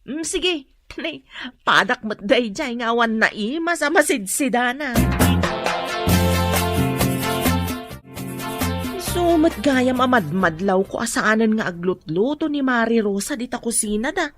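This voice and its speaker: An actress with an Ilocano accent playing a helpful, gently chiding village wife in a radio drama. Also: female voice, a little high, animated, normal speed, over music, natural voice. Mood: friendly, grateful.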